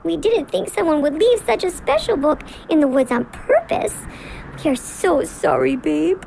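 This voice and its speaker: Tiny, creaky little girl squirrel. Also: very high voice, very animated, normal speed, mellow, slight background noise, exaggerated voice, rough recording. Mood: sorry. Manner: childlike, apologetic. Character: tiny young girl squirrel, sorry and tearful. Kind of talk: children's radio drama acting.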